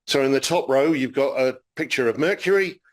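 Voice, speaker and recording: A man's voice: medium pitch, brisk. A man giving a public astronomy lecture, friendly and explanatory, with frequent fillers as he talks through slides.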